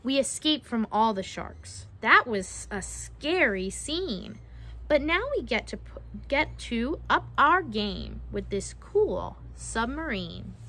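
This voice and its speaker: Animated young woman reading aloud, high-pitched. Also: a little hiss or hum. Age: young adult. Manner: expressive, dramatizes the verses and pauses to ask questions. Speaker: read-aloud storyteller. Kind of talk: children's picture book read-aloud with questions to young listeners. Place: outdoors on a wooden backyard deck.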